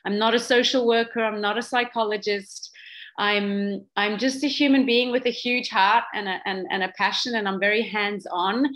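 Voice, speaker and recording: A woman's voice: medium pitch, steady tone, moderate pace, fairly bright tone. Earnest woman with a slight Australian twang. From Switzerland. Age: 40s. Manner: earnest, passionate, many fillers and restarts. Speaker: guest speaker, social business founder. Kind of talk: online talk with a moderator.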